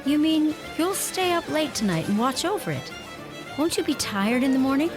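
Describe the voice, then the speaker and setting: A young woman, played by an actress, speaks with an animated, varied pitch over music. She is a warm, curious newcomer in a radio drama. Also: moderate pace. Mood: curious, tender. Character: warm, curious young American woman new to rural Ireland. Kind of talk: radio play acting.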